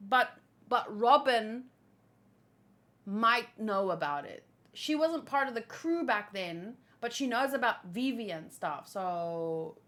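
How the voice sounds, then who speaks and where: A woman hosting a casual read-along, reading comic dialogue aloud and chatting as an animated fan. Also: a little high, slow. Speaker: host reacting to a manga chapter read-along.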